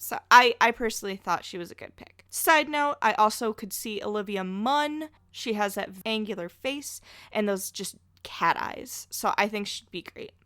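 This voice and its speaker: A woman talking casually as a podcast guest, chatty and opinionated, quick to put herself down. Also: mid-range voice, fairly bright tone, dry recording, studio quality.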